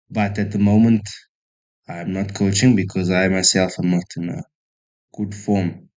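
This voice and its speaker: Slow-talking man from South Africa, low voice. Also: level tone, a little mellow, dull audio. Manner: thinks aloud slowly, chatty with viewers, self-deprecating. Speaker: chess streamer. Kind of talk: casual live-stream commentary.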